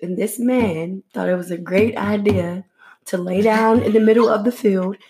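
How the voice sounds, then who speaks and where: A woman's voice: mid-range voice, moderate pace, background noise. A woman in her twenties co-hosting a casual podcast chat, laughing often and swearing now and then. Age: 20s. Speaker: podcast co-host.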